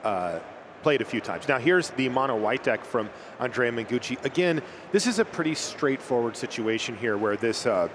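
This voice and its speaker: A man calls a card game tournament with upbeat, chatty energy. His voice sits at a middle pitch. Clear background noise runs under the booth audio. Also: moderate pace. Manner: energetic, conversational with fillers. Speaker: esports commentator. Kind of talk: play-by-play commentary.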